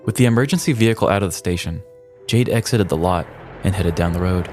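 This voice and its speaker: Low-voiced man telling a comic crime story. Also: fast, darkish tone, faint music behind. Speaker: podcast co-host. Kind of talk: scripted comedic true-crime storytelling.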